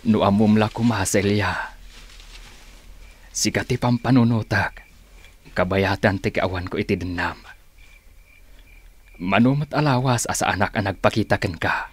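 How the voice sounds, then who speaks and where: A man's voice: lowish voice, a little slow, long pauses, slightly rough. A man playing a tender, reassuring sweetheart in an Ilocano radio drama, soothing and sentimental.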